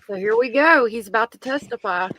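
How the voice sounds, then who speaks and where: A woman's voice: fairly high pitch, brisk, pushed and bright, slight background noise, low-quality recording. A woman hosting a live stream, giving blunt, wry commentary on a trial as she watches it. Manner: blunt, wry, talks back at the screen. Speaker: livestream host commenting on a trial.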